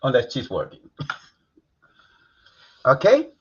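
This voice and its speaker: A man hosting a live talk stream, relaxed and rambling, laughing easily. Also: mid-range voice, slow, lo-fi sound, slight background noise. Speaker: talk show host. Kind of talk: casual live-stream chat.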